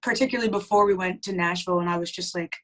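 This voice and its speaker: A woman's voice: fairly low pitch, steady tone, very fast, a bit bright. A young woman, a band's lead singer, chatting casually in an interview with lots of fillers. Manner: chatty, many "like" fillers, laughs easily.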